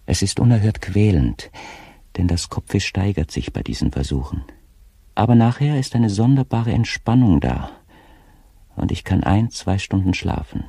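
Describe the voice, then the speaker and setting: An actor playing a tormented, guilt-ridden official slipping into madness, reading his diary in a brooding, deliberate way in an audio drama. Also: fairly low pitch, big pitch swings, creaky, warm tone, husky, dry recording, studio quality, exaggerated voice. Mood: haunted, anguished.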